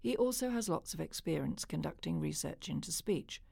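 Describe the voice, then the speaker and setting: A woman's voice: deep voice, animated, normal speed, gravelly, mellow, husky, no echo, studio quality. A woman, reading a scripted documentary narration.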